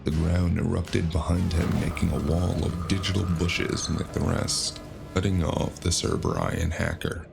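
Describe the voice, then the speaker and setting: A man with a deep, heavily creaky voice tells a scripted story in the first person. His delivery is gritty and dramatic. Loud music plays under the narration. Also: animated, a little slow. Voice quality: gravelly. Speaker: narrator of a cyberpunk audio drama.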